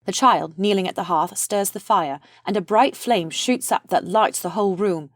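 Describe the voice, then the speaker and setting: A woman's voice: mid-range voice, moderate pace, no room sound, clean studio sound. A woman reading the stage directions of a play as scripted narration.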